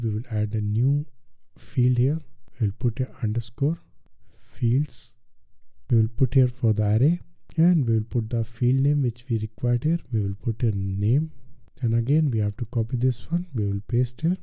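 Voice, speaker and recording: A man with an Indian accent narrating a software tutorial step by step, with repetitive filler phrases. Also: medium pitch, no room sound. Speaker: tutorial presenter.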